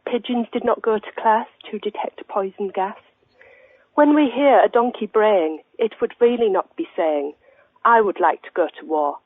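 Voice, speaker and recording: Solemn, sincere dinner lady with poetic pretensions played by an actress, mid-pitched, on a thin dry telephone line. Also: no echo, natural voice. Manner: solemn, declamatory rhyming recitation. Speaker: phone-in caller. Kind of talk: spoof radio phone-in acting.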